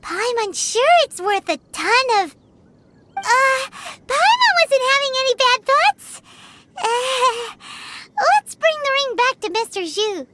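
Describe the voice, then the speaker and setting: Tiny childlike fairy sidekick, extremely high voice, animated swings, excitable, greedy, video game, music behind. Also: female voice, takes it slowly, noisy background, full character voice. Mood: flustered. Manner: speaks of herself in third person, childlike.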